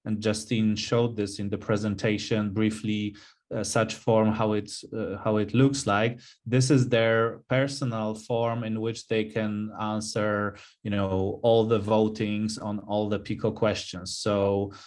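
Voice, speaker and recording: A middle-aged man giving explanatory answers on a webinar video call, halting and pausing mid-sentence. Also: on the low side, little pitch movement, a little mellow. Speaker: webinar panelist.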